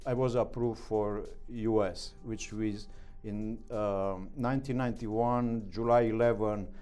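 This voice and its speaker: A middle-aged man with a Romanian accent, speaking broken English as an interview guest, halting with many fillers. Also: fairly low pitch, steady tone, mellow, soft background music. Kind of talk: interview answers.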